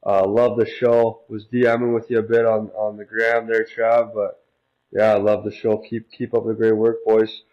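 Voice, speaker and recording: A man's voice: fairly low pitch, little pitch movement, normal speed. A young man leaving a casual voicemail as a caller to a podcast, on muffled lo-fi audio. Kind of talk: casual chat.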